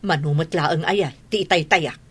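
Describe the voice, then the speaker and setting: A woman's voice: low-pitched, moderate pace, slightly rough. An actress with an Ilocano Filipino accent playing a wheedling married woman who gambles, in a radio comedy drama. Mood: defensive.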